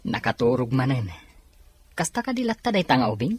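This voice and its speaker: A woman playing a tired, grumbling housemate in an audio drama, with a husky, raspy voice and dry manner. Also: a bass voice, big pitch swings, fairly quick, darkish tone, exaggerated voice.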